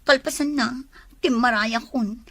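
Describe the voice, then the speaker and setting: A lovelorn young rural homemaker from an audio drama , acted by a woman. Her voice sits fairly high with a breathy, husky edge. She speaks with an Ilocano accent, tender and plaintive, as someone long-suffering in love. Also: measured pace, bright, forceful tone, heavily acted. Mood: sad, confiding.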